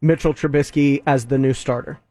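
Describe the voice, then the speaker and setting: A man co-hosting a sports radio show, relaxed in banter and reading news updates. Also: medium pitch, brisk, no room sound. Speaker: radio co-host. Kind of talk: casual sports radio talk. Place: radio studio.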